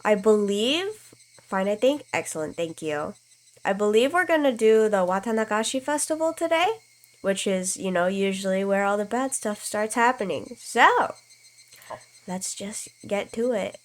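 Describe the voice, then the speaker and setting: A woman streamer with a stuffy nose and a slight cough, reading a visual novel aloud in a calm, even way, with casual asides to her chat. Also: medium pitch, unhurried.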